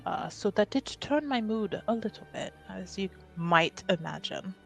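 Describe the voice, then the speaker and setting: A woman playing a poised, courteous, faintly displeased woman with formal, measured phrasing in a tabletop roleplay. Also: mid-range voice, animated, vocal fry, soft background music.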